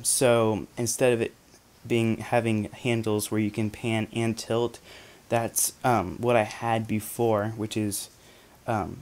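A man's voice: mid-range voice, a little mellow. A young man casually reviewing photography gear from his bedroom, talking to the camera with lots of ums and restarts. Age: young adult. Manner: chatty, many ums and restarts.

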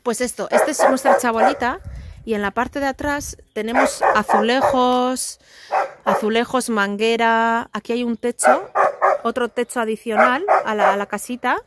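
A woman's voice: on the high side, normal speed, heavy background noise. Chatty woman vlogging outdoors. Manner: chatty, animated, cheering on her son. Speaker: family vlogger. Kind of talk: casual house tour vlog. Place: outdoor garden terrace. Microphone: handheld phone at arm's length.

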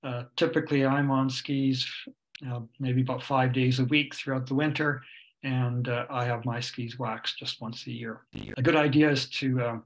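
A man's voice: mid-range voice, level tone, moderate pace, rough recording. An older man with a Canadian accent, a ski instructor presenting a webinar from home over a video call, explaining steadily with many fillers. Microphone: webcam or computer mic on a video call.

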